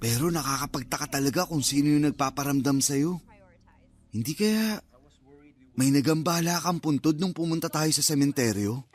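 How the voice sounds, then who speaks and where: Actor as a concerned young cousin, higher male voice. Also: moderate pace, lightly acted. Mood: concerned, curious. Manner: coaxing, reasoning, mildly reproachful. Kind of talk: Filipino radio drama acting.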